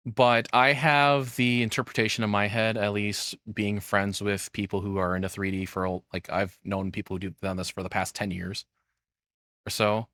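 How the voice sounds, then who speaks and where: A man's voice: lowish voice, little pitch movement, moderate pace, a bit bright, no echo, studio quality. A man giving casual, opinionated commentary, rambling and swearing bluntly as he goes. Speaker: streamer reacting to a video.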